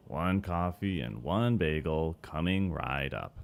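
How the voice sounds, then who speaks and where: Cartoon cafeteria worker, male actor: rock-bottom pitch, gravelly, weary, dragging pace, fed up with the job. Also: darkish tone, exaggerated voice. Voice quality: deep, gravelly voice. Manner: weary, sighing, low-energy delivery. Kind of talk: animated series voice-over takes. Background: background music under the voice.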